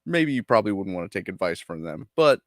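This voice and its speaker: A man's voice: fairly low pitch, sing-song, fast talker, dry recording, clean studio sound. A man co-hosting a casual live-stream chat, laid-back and agreeable as he shares stories. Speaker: co-host.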